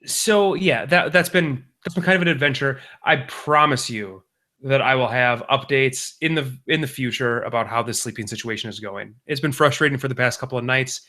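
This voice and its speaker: A man's voice: mid-range voice, moderate pace, pushed and bright. Rambling male podcast co-host. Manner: rambling, self-correcting parent anecdotes. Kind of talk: casual chat.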